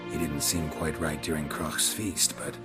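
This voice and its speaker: An actor playing a gruff, grizzled monster hunter in a video game, speaking in short, flat lines. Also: male voice, moderate pace, loud music behind the voice. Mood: dry. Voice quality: low, flat, terse delivery.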